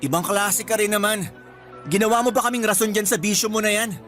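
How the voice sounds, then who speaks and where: A man's voice: sits high, steady tone, fast talker, fairly bright tone. A man playing an arrogant graduate in his twenties, a scornful, taunting younger brother with a Filipino accent in a radio drama. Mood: dismissive. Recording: music bed under the voice.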